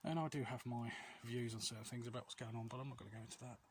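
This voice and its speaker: A man's voice: medium pitch, steady tone, rapid-fire. Hesitant man rambling in a noisy outdoor recording. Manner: hesitant, rambling, self-deprecating. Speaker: vlog host. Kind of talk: casual walking vlog, confessional chat. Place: outdoors, quiet street and alleys.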